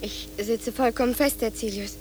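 An actress playing a harried young office employee, flustered and pleading, in a German radio play. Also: female voice, fairly high pitch, moderate pace, darkish tone, lightly acted. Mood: urgent pleading. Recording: faint hiss.

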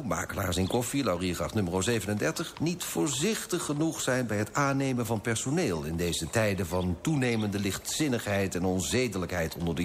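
An actor playing a pompous, self-righteous coffee broker who moralises emphatically in a radio drama monologue. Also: male voice, fairly low pitch, noisy background.

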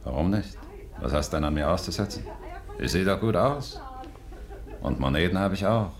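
An actor playing a cocky, pushy small-time gangster in a radio play, gruff and bossy. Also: male voice, sits low, lively intonation, moderate pace. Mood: coaxing, boastful. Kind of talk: 1950s German radio play acting.

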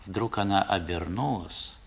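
An actor narrating a 1960s radio play as a man looking back on his boyhood, in a measured, literary, reflective manner. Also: low-pitched, gravelly, slightly rough, full character voice.

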